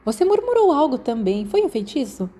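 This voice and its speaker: A Brazilian woman voicing a curious, excitable teenage schoolgirl in an audiobook. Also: high voice, fairly quick, pitched above the performer's own voice. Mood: curious, teasing. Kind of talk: audiobook character dialogue.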